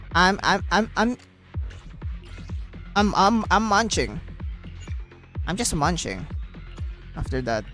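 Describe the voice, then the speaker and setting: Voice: mid-range voice, fairly bright tone. A streamer chatting casually on a livestream in a rambling way, often repeating phrases.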